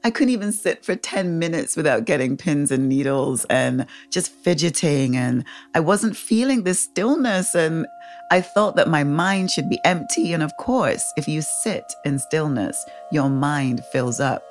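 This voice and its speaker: Woman, 40s, very deep voice, candid storytelling, laughs often. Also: lively intonation, a little slow, soft background music. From England, raised in the Caribbean. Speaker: guest sharing her story. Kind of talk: personal storytelling.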